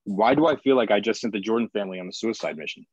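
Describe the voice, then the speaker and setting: A man playing a gruff, suspicious, foul-mouthed boss of a space colony in a sci-fi table read. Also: on the low side, animated, rapid-fire, no room sound, muffled recording. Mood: grim. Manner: confrontational, demanding, profane.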